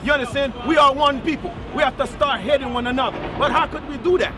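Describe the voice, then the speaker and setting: A man's voice: very high voice, fast, over music. A man from Haiti, preaching outdoors to passersby and often asking "you understand?". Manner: emphatic, repeats "you understand?". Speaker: street preacher. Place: outdoors on a busy street. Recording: outdoor street recording with crowd noise.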